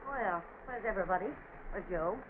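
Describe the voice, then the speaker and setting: A fearless, bossy middle-aged aunt played by an actress talks in a brisk, blunt radio-drama manner. The old recording is tinny, with faint noise. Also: female voice, medium pitch, natural voice. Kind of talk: 1940s radio acting.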